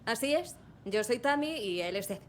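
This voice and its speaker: A woman's voice: on the high side, lively intonation, normal speed, a little hiss or hum, close to an everyday voice. An actress playing a wry, defensive female true-crime writer in a dubbed video game, assertive and sardonic in delivery. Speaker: writer, witness.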